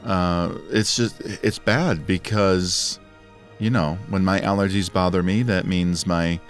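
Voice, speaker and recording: A man's voice: low voice, fairly even intonation, slow pace, soft background music. A man co-hosting a podcast in casual chat, playful and joking, his voice scratchy and dry from allergies. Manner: playful, joking, laughs often. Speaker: podcast co-host.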